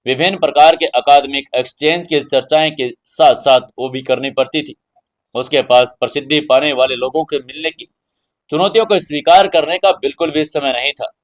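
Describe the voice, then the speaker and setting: Male audio story narrator and host, moderately high pitch, dramatic delivery. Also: poor audio.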